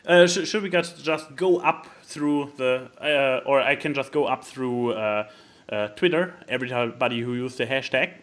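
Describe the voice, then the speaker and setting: A man co-hosting a casual podcast, speaking haltingly and stammering as he searches for words. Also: medium pitch, a bit bright. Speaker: podcast co-host.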